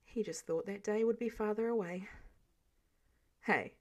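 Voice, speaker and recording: A woman reads fiction aloud as an amateur audiobook narrator. Her voice sits at a medium pitch. The delivery is scripted storytelling. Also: fairly quick, dark tone.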